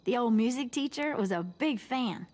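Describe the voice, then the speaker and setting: A woman's voice: a little high, lively intonation, moderate pace, a little mellow, close to an everyday voice. A woman playing a chirpy, bustling teenage party organizer in a video game, with an upbeat, folksy manner. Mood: busy, cheerful.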